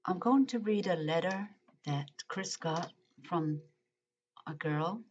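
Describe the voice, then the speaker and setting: A woman's voice: fairly low pitch, slow, darkish tone, a little hiss or hum. A woman vlogger reading a letter aloud and commenting, hesitant with frequent ums and uhs, in muffled lo-fi audio. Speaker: true-crime vlogger.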